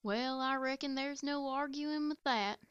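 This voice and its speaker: A woman's voice: high-pitched, measured pace, fairly bright tone, dry recording, highs cut off. A woman playing a plain-spoken young country pony, folksy and down-to-earth, in an amateur audio drama. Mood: reluctant, casual.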